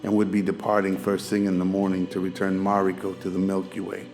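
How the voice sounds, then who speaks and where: A man's voice: deep voice, monotone, brisk, darkish tone. A man narrating a scripted radio drama as its storyteller. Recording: music behind the voice.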